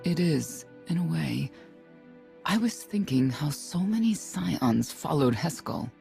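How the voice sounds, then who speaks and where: A woman's voice: low-pitched, animated, slow, creaky, dark tone, heavily acted. An actress playing a grave, resolute middle-aged warrior mother in a space saga game, solemn and reflective. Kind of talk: scripted game cutscene acting. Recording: music playing underneath.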